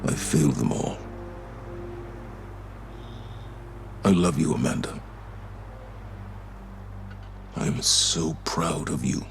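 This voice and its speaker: An actor playing a weary, solemn older male commander in grief, in a dramatic game cutscene monologue. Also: a little hiss or hum. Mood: grieving, tender. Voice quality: gravelly, very deep. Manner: slow, solemn, halting.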